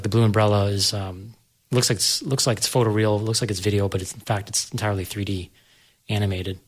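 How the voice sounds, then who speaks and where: Male panel guest, low voice, casual explanatory film talk.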